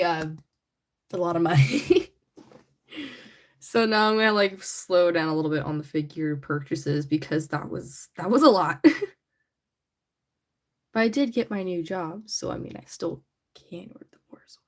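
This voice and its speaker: A young woman hosting a casual livestream, chatty and giggly, her voice a little raspy from allergies. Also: on the low side, animated, moderate pace, pauses often. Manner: chatty, giggly, rambling with many "like"s. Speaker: livestream host. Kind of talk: casual livestream chat while painting a figure. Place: indoors at home.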